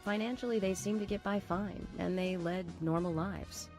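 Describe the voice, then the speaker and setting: A woman playing a composed, plain-spoken small-town police officer in a video game, measured and matter-of-fact. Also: medium pitch, fairly even intonation, over music, lightly acted.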